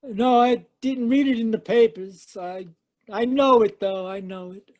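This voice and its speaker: A man's voice: high voice, unhurried, darkish tone. An actor playing a timid, stammering old eccentric father in an amateur radio drama over a video call. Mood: nervous. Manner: stammering, hesitant.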